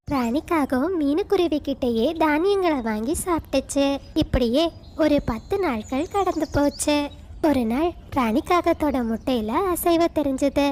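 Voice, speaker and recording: A woman narrates a scripted children's story. Her voice is high, and there is faint noise in the background. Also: a little mellow.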